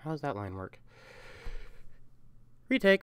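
Low-voiced woman between takes. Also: big pitch swings, slow pace, clean tone. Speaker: audio roleplay performer. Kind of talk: off-script blooper chatter between takes.

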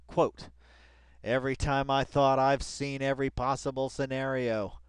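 A man reading along with an article and commenting casually, rambling and excitable, repeating phrases for emphasis and swearing freely. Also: mid-range voice, a little slow, clean tone, dry recording. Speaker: video commentator.

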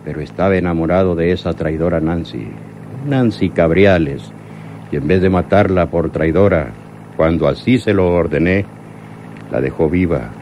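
Actor as a very deep, brooding crime boss. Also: male voice, normal speed, darkish tone, slight background noise, natural voice. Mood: bitter, angry. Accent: Mexican. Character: brooding male crime boss, bitter and menacing. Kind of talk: Mexican radionovela acting.

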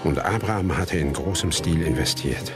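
A son recalling his life as narrator of a radio drama. The voice is very deep and reflective, carried over strong music. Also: moderate pace. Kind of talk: scripted literary narration in German radio play.

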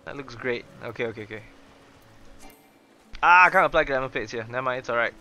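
A young man doing excitable, chatty live game commentary, exclaiming and reading dialogue aloud. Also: medium pitch, quick pace, faint music behind. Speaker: gaming streamer.

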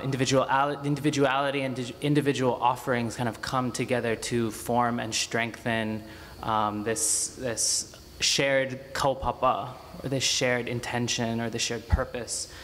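A man's voice: mid-range voice, little pitch movement, moderate pace, fairly bright tone. A young man moderates an art panel, feeling his way through a question with restarts. His voice sits mid-range. A stand mic picks him up in a gallery with long reverb and faint hiss.

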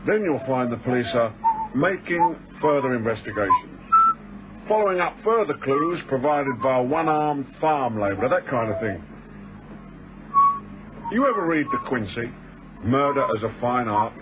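An English actor playing a smooth, sinister man posing as a stranded traveller in a British radio thriller, breezy, knowing and over-familiar. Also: mid-range voice, animated, normal speed, pauses often, close to an everyday voice. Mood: musing, sly. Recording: noisy, tinny old radio drama recording.

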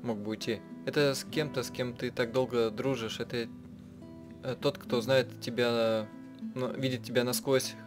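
Man, let's-play narrator, halting live translation, mid-pitched voice, soft music behind. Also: fairly even intonation. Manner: halting, rephrasing as they translate. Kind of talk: live spoken translation of a visual novel.

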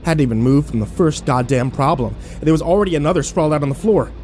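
A man playing an overwhelmed, foul-mouthed young man who narrates his ordeal haltingly in a horror audio drama. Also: fairly high pitch, darkish tone, soft background music, lightly acted. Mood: shaken, bewildered. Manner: halting, self-interrupting, swearing, incredulous.

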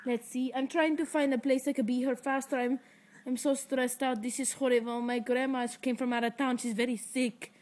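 A teenage girl fakes a panicked phone call, rambling and overdoing her distress. Her voice sits high and is picked up close by a laptop webcam in a living room. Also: level tone, moderate pace, a little mellow. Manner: fake-distressed, rambling, stifling laughs. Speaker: prank caller. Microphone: laptop webcam mic up close.

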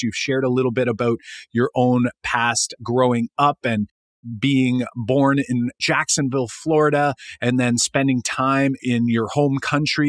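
Co-host: friendly, chatty man, middle pitch, dry close mic. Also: fairly bright tone, dry recording. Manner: enthusiastic, conversational. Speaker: podcast co-host. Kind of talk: casual interview chat.

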